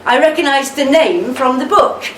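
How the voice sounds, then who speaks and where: A woman playing a devoted, steadfast older army wife in a radio play, with a measured, tender delivery. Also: sits high, fairly bright tone. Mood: measured, careful. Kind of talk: amateur radio play acting. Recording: roomy, distant mic, faint noise.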